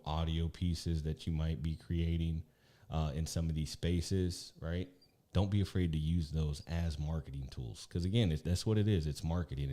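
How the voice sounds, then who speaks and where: Deep-voiced man, mellow tone, casual live podcast co-host, rambling tips. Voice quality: naturally deep voice. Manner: loose, chatty, repeats "again" and "right".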